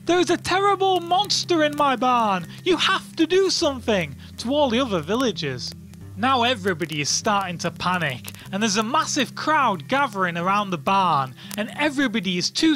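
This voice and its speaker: An informal storyteller reads a comic fairy tale with highly animated intonation. The telling is playful and colloquial, over background music.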